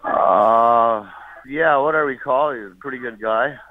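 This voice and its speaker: A Canadian restaurant owner taking a call, speaking bluntly and repeating himself when agitated. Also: male voice, medium pitch, measured pace, crowd noise. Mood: wary. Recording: muffled phone line.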